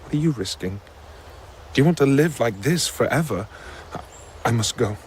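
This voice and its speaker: An actor playing a brusque, determined, secretive rebel in a radio drama, terse and firm. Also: male voice, mid-range voice, lively intonation, moderate pace, slight background noise, lightly acted.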